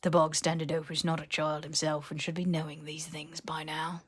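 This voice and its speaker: An ancient male house-elf played by a woman narrator speaks in a slow, breathy near-whisper. He is a stiff, dutiful servant from a fantasy audiobook, pitched low and formal in his odd, deliberate phrasing. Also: level tone. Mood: resigned, dutiful. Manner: slow, deliberate, stilted third-person speech. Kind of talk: character voice in fan fiction audiobook.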